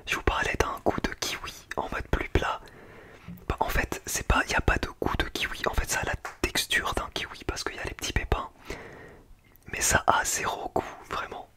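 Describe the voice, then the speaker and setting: Man, breathy whisper, ASMR style, casual slangy chat, food-tasting creator. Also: normal speed, pushed and bright.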